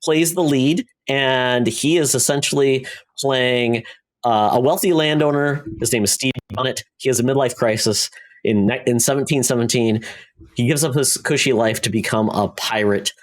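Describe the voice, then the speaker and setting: A man with a mid-pitched voice talks casually as a podcast co-host. He rambles through his review in a halting way, often breaking off and restarting his own sentences. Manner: halting, rambling, self-interrupting.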